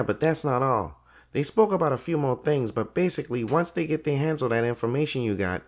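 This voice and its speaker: A man playing a loyal, tech-savvy cousin in an audio drama, speaking in a measured, serious, colloquial way. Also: mid-range voice, natural voice.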